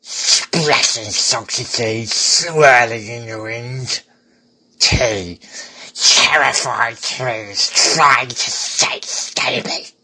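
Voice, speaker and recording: A man playing a dramatic describer of storms and disaster, with theatrical, drawn-out delivery. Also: mid-range voice, bright, forceful tone, husky, heavily acted, low-quality recording.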